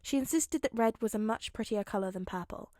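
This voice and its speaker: A woman's voice: a little high, a little fast, mellow, no room sound, studio quality. A woman reading fiction aloud and chatting casually to listeners as the host of her channel. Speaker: podfic reader and channel host. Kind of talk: expressive fiction narration and casual chat to listeners.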